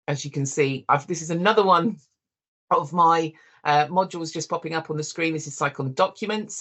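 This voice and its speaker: Software demo presenter, mid-range voice, informal and explanatory, talking straight to viewers through a walkthrough. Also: medium pitch. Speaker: software demonstrator.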